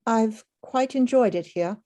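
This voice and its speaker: A woman's voice: a little high, measured pace, dark tone, close to an everyday voice. A woman in an amateur script reading playing a reserved, apologetic company executive. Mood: regretful. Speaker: amateur actor at a table read.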